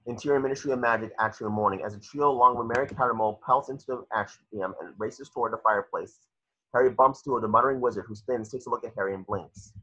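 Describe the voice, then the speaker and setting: Husky, forceful low-voiced male narrator. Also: fast. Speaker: narrator reading stage directions. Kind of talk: screenplay narration at a table read. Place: home, video call. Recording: dull, lo-fi video call.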